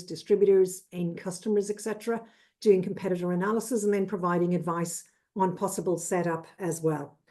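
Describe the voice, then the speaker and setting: A woman in her fifties with an Irish accent, giving a brisk, businesslike webinar presentation as a trade consultant over a video call. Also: mid-range voice, warm tone. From Ireland. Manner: brisk and businesslike, lists with "et cetera".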